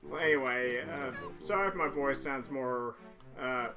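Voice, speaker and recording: A man narrating his game session in a slow, chatty ramble, stopping to correct himself. His voice sounds hoarse and keeps cracking, and loud game music plays under everything. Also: medium pitch. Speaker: video game player. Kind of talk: casual let's-play commentary.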